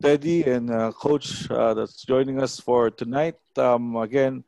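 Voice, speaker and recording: A man in his forties with a Filipino accent, hosting an online coaching talk informally and haltingly into a headset. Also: mid-range voice, steady tone, gravelly, mellow, dry recording. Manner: halting, hesitant delivery. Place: small room at home.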